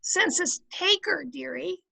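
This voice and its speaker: Woman acting a very high-pitched, teasing radio housewife. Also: expressive, unhurried, vocal fry, full character voice. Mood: matter-of-fact. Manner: folksy, gently needling. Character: dry-witted, teasing, patient housewife and wife. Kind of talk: old-time radio comedy acting. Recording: home video-call recording.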